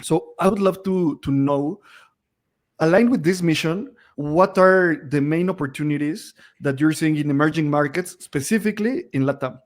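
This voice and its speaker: A man's voice: a little high, normal speed. A man from Latin America hosting a podcast, asking long, wordy questions. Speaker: podcast host. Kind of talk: interview question.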